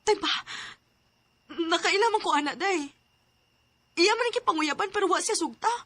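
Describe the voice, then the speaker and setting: A woman's voice: very high-pitched, expressive, normal speed, fairly bright tone, no room sound. An actress playing a jealous, heartbroken young woman in a radio drama, emotional and pleading. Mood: distraught, pleading.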